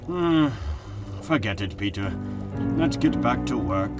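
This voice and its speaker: A man playing an excitable, self-doubting older professor, hesitant and musing, in a dramatic comic-book reading. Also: medium pitch, slow pace, loud music behind the voice. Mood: doubtful, musing.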